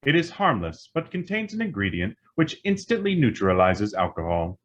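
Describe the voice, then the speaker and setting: An actor voicing an impassive computer in an old-time radio play, announcing in a formal, mechanical way. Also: male voice, mid-range voice, wildly swooping pitch, moderate pace, dull audio.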